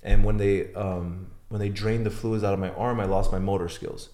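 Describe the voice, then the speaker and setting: Blunt low-voiced man in echoey room. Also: fairly even intonation, moderate pace, mellow. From Iran. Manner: blunt, swears freely, plain storytelling. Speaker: guest. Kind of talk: podcast interview.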